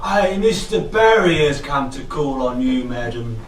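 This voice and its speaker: An actor playing a doddering, obsequious old servant in a theatrical costume comedy. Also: male voice, mid-range voice, expressive, natural voice. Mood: deferential. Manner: obsequious, slow. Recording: echoey room, recorded from far off.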